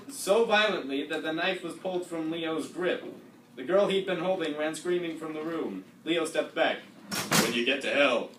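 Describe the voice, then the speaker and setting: A man's voice: high voice, fairly bright tone, far from the mic. A man narrating comedic readers' theatre, recorded in an echoey, noisy live room.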